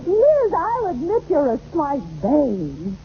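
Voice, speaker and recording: An actress playing an affected, catty, gushing society matron in an old radio comedy. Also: female voice, high-pitched, huge pitch swings, exaggerated voice. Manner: drawn-out, gushing, condescending. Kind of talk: 1940s-50s radio comedy acting. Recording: muffled, noisy old radio recording.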